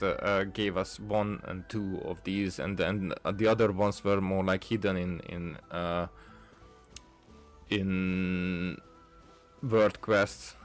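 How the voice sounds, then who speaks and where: A man with a fairly low voice talks through a video game at a slow pace. His commentary is casual and halting, wandering as he thinks aloud. Game music plays behind him. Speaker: gaming streamer.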